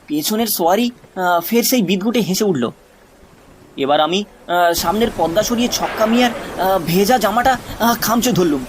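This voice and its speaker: A man's voice: sits high, moderate pace, long pauses, noisy background. A man reading a scripted ghost story as a dramatic narrator.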